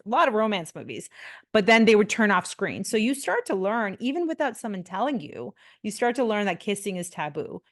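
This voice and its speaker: A woman in her forties from India, a pelvic physiotherapist talking as a podcast guest in a chatty way, full of fillers and restarts. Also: medium pitch. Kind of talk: casual interview talk.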